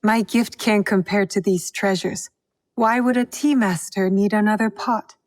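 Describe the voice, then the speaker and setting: A woman's voice: mid-range voice, steady tone, a little slow, in a large hall, lightly acted. A woman playing a guarded, determined young female shinobi bent on revenge in a video game, speaking in a serious, terse way. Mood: guarded, polite. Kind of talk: video game cutscene acting.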